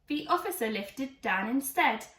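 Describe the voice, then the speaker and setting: A woman's voice: fairly high pitch, animated, measured pace, fairly bright tone, recorded from a distance. A woman reading a rhyming children's storybook aloud in a theatrical, emphatic way. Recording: echoey room, away from mic.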